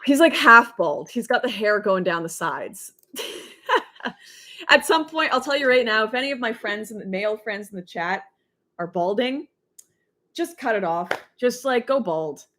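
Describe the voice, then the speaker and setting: A young woman with a deep voice, hosting a casual livestream and reading out chat messages. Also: animated, measured pace, sharp tone. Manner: chatty, rambling, laughs often.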